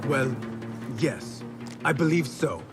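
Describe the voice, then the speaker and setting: A man's voice: medium pitch, lively intonation, slow, music in the background. An actor playing an earnest, respectful young male apprentice assassin in a video game. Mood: respectful, uncertain.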